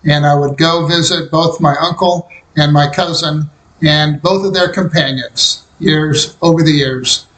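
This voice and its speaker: An older man from Kansas tells his life story in a calm, reflective manner, taking his time over each memory. His voice sits a little high for a man. The sound carries a noticeable room echo. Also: a little slow. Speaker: interviewee recalling his life. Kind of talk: personal memoir narration. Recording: roomy echo.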